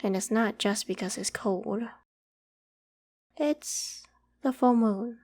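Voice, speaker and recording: A woman voicing a polite, protective young female werewolf with a secret, in a gentle, reassuring ASMR roleplay. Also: fairly high pitch. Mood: guarded, uneasy. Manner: gentle, reassuring, hesitant when evasive. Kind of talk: ASMR roleplay, acted one-sided conversation.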